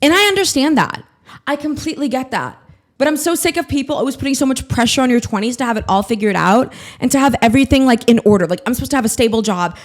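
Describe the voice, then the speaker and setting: A woman's voice: high voice, lively intonation, fast. Swearing, rambling young woman podcaster. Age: 20s. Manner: scattered, swearing, self-mocking rant. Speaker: podcast host. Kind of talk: casual solo podcast monologue.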